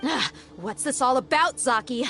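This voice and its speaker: An actress playing a confident, sardonic mercenary with a cool, casual manner in a video game. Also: female voice, high voice, moderate pace, pushed and bright, faint music behind. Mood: wary. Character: confident, wry female desert mercenary, cool under pressure. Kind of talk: anime-style video game voice acting.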